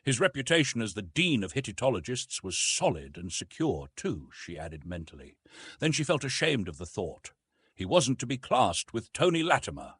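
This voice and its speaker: Husky male audiobook narrator. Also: medium pitch, very animated, moderate pace, fairly bright tone, no room sound. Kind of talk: scripted narration of a classic science fiction story.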